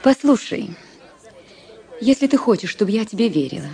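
A woman's voice: mid-range voice, big pitch swings, moderate pace, dark tone, some chatter behind. An actress playing a jealous, lovesick society woman in a Russian radio drama, intimate and pleading.

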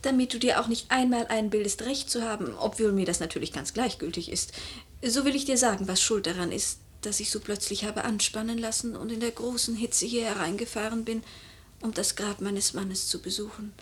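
An actress playing an imperious, troubled noble widow in a classical radio drama, haughty and dramatic. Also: female voice, medium pitch, a little fast, a little hiss or hum, close to an everyday voice. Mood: haughty, indignant. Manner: haughty, dramatic, whispery at times.

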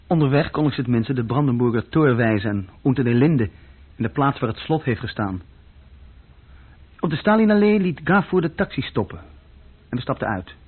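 Husky troubled young man, plainly telling his story. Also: mid-range voice. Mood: matter-of-fact, reflective. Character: troubled young German man recounting his past, plain-spoken, fearful. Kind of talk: radio play first-person narration. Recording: dull lo-fi old radio play recording.

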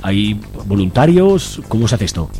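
A man's voice: fairly low pitch, big pitch swings, moderate pace, warm tone. A man with an accent from Spain interviewing informally on radio, colloquial and full of tag questions. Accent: Spanish from Spain.

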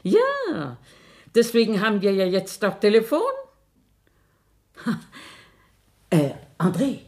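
A woman's voice: medium pitch, wildly swooping pitch, slow pace, a little mellow, heavily acted. An actress in a German radio play voicing a shrewd, bossy old grandmother, emphatic and sardonic in a theatrical way. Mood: confidential, smug. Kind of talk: German radio drama acting.

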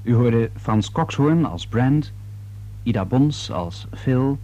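A man reading a scripted radio drama announcement in a formal, measured manner. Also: mid-range voice, animated, warm tone.

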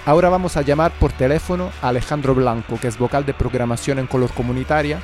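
A man's voice: medium pitch, fairly even intonation, quick pace, music in the background. A man from outside Spain presenting and interviewing on the radio.

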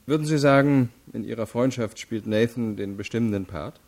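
An actor playing a stern, probing investigator in a radio crime drama, formal, measured and insistent. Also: male voice, mid-range voice, a little mellow, close to an everyday voice. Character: stern, probing adult male investigating state attorney. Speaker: interrogator.

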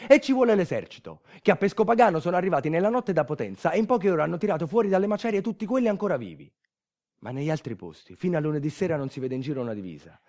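A man's voice: fairly high pitch, expressive, very fast, a little mellow. A man with a southern Italian accent performing a theatrical narrative monologue, colloquial, emphatic and urgent. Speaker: solo stage actor narrating a monologue. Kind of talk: dramatic theatrical storytelling, radio monologue.

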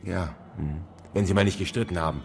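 A man's voice: low voice, brisk, a little hiss or hum. A man playing a reserved, evasive apartment neighbor in a naturalistic German radio crime drama, answering hesitantly and guardedly. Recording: reverberant stairwell, music underneath.

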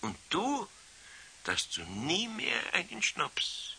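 Older Bavarian master craftsman, exasperated yet good-natured, fairly high gravelly voice, faint tape hiss, 1970s radio drama. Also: takes it slowly, heavily acted. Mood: soothing, tired. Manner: exasperated but kindly, expressive. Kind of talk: 1970s children's radio play acting. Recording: faintly hissy 1970s radio play recording.

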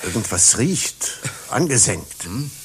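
An actor playing an authoritative, placating executive in a satirical radio play, formal and courteous with a clipped edge. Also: male voice, lowish voice, big pitch swings, slow, slight background noise. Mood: composed. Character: smooth, authoritative male executive, placating but curt.

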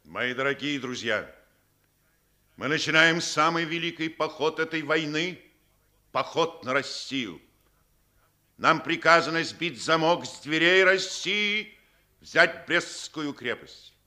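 An actor playing a confident German army officer, solemn and declamatory, in 1950s Soviet radio theatre. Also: male voice, on the high side, slow, pushed and bright, exaggerated voice. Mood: solemn, triumphant.

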